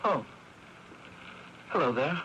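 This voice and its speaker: Anguished brother, swooping voice. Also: male voice, on the high side, expressive, pushed and bright, lo-fi sound, faint music behind, heavily acted. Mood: subdued. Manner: halting, agitated, repeats himself. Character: anguished, guilt-ridden brother with a fierce temper. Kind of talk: 1980s radio drama acting. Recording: noisy 1980s AM radio broadcast.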